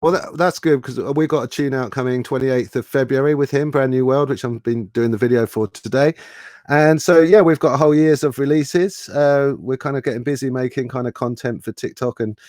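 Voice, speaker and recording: A man talking as a guest musician in a relaxed-format interview. His pitch is mid-range for a man, and the sound is dry, with very little room on it. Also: brisk, no room sound. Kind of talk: casual interview chat.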